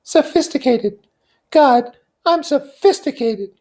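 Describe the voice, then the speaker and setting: A man's voice: very high voice, expressive, takes it slowly, darkish tone, pitched above the performer's own voice, heavily acted. A man voicing a dramatic, cynical and flighty young society woman in a theatrical, gushing way from a classic novel. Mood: scornful.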